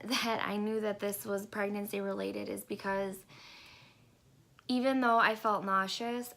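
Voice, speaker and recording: A woman's voice: mid-range voice, fairly bright tone. A woman vlogger giving a casual update, congested and rambling with lots of hesitant ums. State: congested, stuffy nose. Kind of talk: casual pregnancy update vlog.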